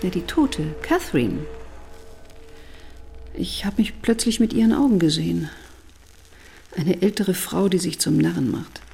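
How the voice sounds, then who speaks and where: A woman's voice: fairly low pitch, lively intonation, a little slow, creaky, long pauses, mellow, faint music behind. An actress playing a guarded, rueful older woman with a weary manner in a radio drama. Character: guarded, bitter older woman, self-deprecating. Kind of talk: German radio drama dialogue.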